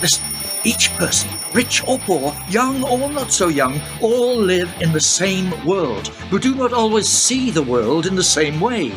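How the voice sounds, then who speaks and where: Man playing elderly time-travelling inventor, high-pitched for a man, cryptic and theatrical, radio drama with music underneath. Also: very animated, measured pace, rough recording. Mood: earnest, cryptic. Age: older. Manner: theatrical, old-fashioned formal phrasing. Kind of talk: radio play acting.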